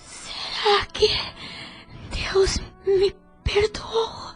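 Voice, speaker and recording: Audio drama role: a dying, repentant older female patient, very high strained whisper, halting and breathless, music underneath. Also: flat delivery, full character voice. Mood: anguished, pleading. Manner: slow, halting, effortful speech. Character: gravely ill, repentant older woman patient. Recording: music bed behind voice.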